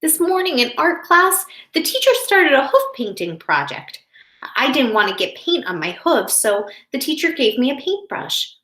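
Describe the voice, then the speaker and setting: A woman's voice: high voice, big pitch swings, normal speed, bright, forceful tone, voice pushed up, heavily acted. A woman voicing an upset, misunderstood young zebra in a children's storybook read-aloud. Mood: plaintive. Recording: roomy, echoey home office.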